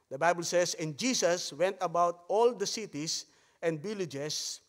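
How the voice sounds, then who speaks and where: A man from the Philippines with a Filipino accent and Tagalog as his first language, preaching a sermon at a pulpit microphone with frequent hesitations. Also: fairly high pitch. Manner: halting delivery with frequent hesitations; softer, hushed tone while praying. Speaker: visiting missionary pastor. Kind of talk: sermon with Bible reading and prayer. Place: church sanctuary. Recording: long church hall reverb.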